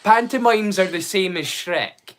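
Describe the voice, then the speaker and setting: A man's voice: high voice, animated, unhurried, a bit bright, slight background noise. A middle-aged man rambling chattily in a casual live watch-along stream. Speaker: livestream host.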